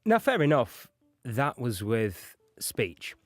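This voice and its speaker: A man's voice: medium pitch, very animated, slow pace, dry recording, faint music behind. A man narrating an explanatory lesson as an audio engineering instructor.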